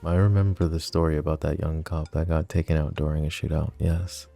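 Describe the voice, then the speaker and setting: A possessive mafia boss with a very low, gravelly voice and a dark, mellow tone, as a man acts him out. He teases with smug ease and turns cold when he threatens. Faint music sits under this audio drama. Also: level tone, brisk, heavily acted. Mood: wry. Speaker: voice actor. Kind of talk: ASMR roleplay audio drama.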